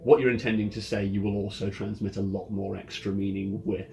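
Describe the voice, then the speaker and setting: A man's voice: on the low side, level tone, a little fast, a little mellow. A man acting as game master, casually explaining rules and scenes to players in an informal way. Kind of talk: casual tabletop roleplay game narration and rulings. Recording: clear background music under the voice. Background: ambient music.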